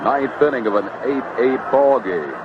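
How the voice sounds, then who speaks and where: A man's voice: medium pitch, expressive, moderate pace, crowd noise. A man with an American accent calling baseball play-by-play in clipped, terse phrases on an old broadcast. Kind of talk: live sports commentary. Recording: dull, noisy 1970s baseball broadcast.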